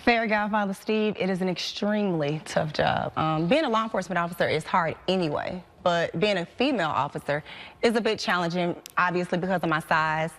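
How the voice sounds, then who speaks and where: A woman's voice: fairly low pitch, fairly bright tone. Earnest woman, talk show guest. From American. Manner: earnest, talks with slight laughs, halting restarts. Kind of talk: casual talk show chat. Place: television studio.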